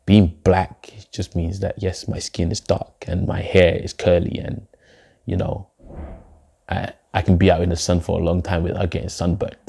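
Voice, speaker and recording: Deep gravelly young man, poet. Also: little pitch movement, warm tone. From Denmark. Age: young adult. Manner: reflective, measured, with filler phrases. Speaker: poet and guest. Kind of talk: spoken word poem, then interview answers.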